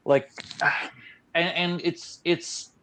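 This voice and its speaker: A man's voice: on the high side, extremely slow, slight background noise, poor audio. Male podcast host from Newfoundland, casual, rambling delivery, trips over his words. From Newfoundland, Canada. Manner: rambling, many restarts and fillers, animated when riled. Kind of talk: casual conversational interview.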